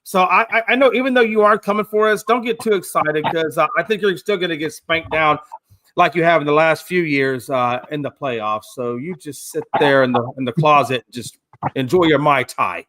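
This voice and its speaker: A man's voice: fairly high pitch, moderate pace, pushed and bright, slight background noise. A man in his fifties co-hosting a casual sports talk show, teasing the others and rambling with repeated words. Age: 50s. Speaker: co-host.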